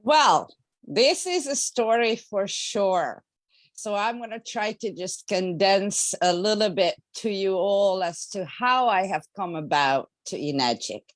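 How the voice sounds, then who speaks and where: Slow-speaking woman from Hungary. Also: mid-range voice, animated, dry recording. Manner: slow, earnest storytelling. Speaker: guest speaker. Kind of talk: personal story on a talk show.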